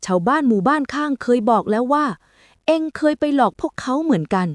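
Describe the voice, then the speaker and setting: A woman voicing a stern village headman, loud, clear and commanding, in a storybook tale. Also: high voice, lively intonation, brisk, no room sound, clean studio sound.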